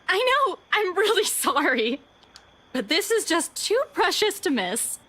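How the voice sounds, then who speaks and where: A woman's voice: very high voice, very animated, measured pace, full character voice. A woman voicing a frightened young woman in a horror visual novel reading, teasing and pleading. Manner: teasing, then quiet and shaky.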